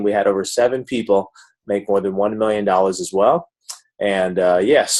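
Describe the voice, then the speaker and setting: Low-voiced man giving a webinar pitch. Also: a little mellow, rough recording. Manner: informal, salesy, chatty with the audience. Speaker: network marketing presenter. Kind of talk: online recruitment webinar pitch. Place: live video call.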